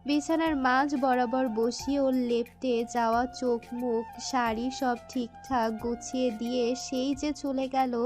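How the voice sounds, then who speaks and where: A woman narrating a scripted romantic story in an expressive, literary storytelling manner. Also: on the high side. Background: music.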